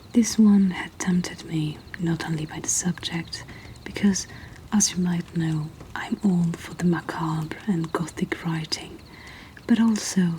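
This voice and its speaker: Slow whispering woman reading poems. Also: on the low side, a little hiss or hum, rough recording. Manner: soft whispering, slow and hushed. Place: indoors by a fire.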